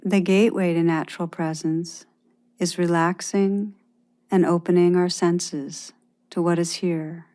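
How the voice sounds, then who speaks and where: A woman leads a guided meditation in a very mellow, relaxed voice that sits a little low. She speaks very slowly and gently, leaving pauses between her instructions as a meditation teacher.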